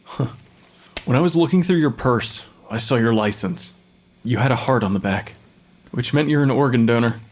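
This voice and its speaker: An actor playing a weary, bitter forensic pathologist who talks to the dead in an audio drama. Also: male voice, mid-range voice, normal speed, a little mellow, natural voice. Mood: cold resolve.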